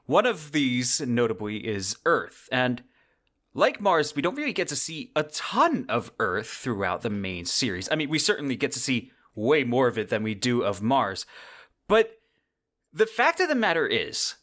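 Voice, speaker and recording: A man's voice: mid-range voice, big pitch swings, normal speed, fairly bright tone. Conversational male podcast host giving commentary. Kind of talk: semi-scripted book commentary monologue.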